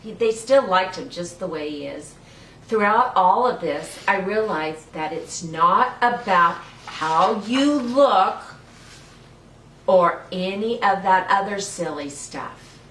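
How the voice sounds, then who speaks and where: A woman's voice: mid-range voice, expressive, slow, a bit bright. An older woman hosting a children's story time, reading and chatting playfully and encouragingly in simple words for small children. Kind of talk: read-aloud storytelling with chat to young children. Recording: roomy, distant mic with faint noise.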